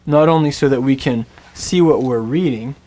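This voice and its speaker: A man with a mid-pitched voice teaches in a scripted, storytelling way. He speaks slowly and deliberately, and he lets dramatic pauses hang between phrases. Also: mellow. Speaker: Biblical Hebrew teacher.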